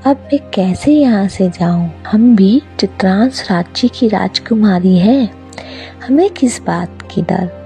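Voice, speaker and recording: A woman's voice: medium pitch, animated, slow pace, warm tone, soft background music. A woman voicing a vain young princess in a cartoon story, with a self-important, dramatic manner. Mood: puzzled, proud.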